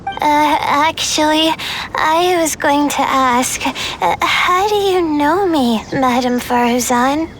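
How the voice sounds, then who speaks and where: A woman's voice: high voice, takes it slowly, fairly bright tone, a little hiss or hum, low-quality recording. A woman voicing a timid, anxious young female student in a video game, hesitant and meek, with stammered starts. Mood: startled, shy. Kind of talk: scripted video game character acting.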